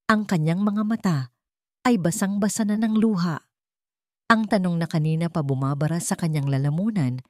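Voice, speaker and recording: A woman's voice: lowish voice, darkish tone, no room sound, clean studio sound. A woman delivering scripted, dramatic narration of a fictional story as a storyteller.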